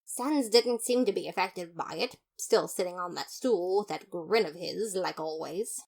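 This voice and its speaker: A woman playing a pompous, fastidious skeleton detective, narrating a story in the first person with theatrical, haughty disapproval. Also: lowish voice, vocal fry, darkish tone. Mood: exasperated.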